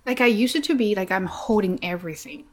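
Soft-spoken woman with a Chinese accent. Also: medium pitch, fairly quick. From China. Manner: soft-spoken, hesitant, many fillers and restarts. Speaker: English teacher. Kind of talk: reflective personal monologue.

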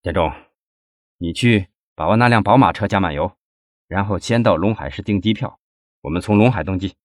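A man's voice: low-pitched, a little fast, darkish tone, no echo, clean studio sound, natural voice. A man voicing a troubled, anxious husband who talks with heavy earnestness and command in an audiobook. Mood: firm, tense. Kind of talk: audiobook character dialogue.

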